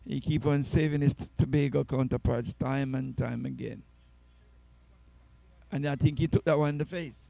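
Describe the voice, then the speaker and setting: A man commentating a youth soccer match, chatty and quick to laugh with his co-commentator. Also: mid-range voice, steady tone, creaky, mellow, no room sound. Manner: chatty, laughs easily, trades banter with co-commentator. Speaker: sports commentator.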